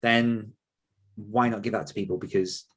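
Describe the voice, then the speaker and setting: A man's voice: fairly low pitch, fairly even intonation, moderate pace, a bit bright, slightly rough. A man speaking earnestly and conversationally as an author coach being interviewed.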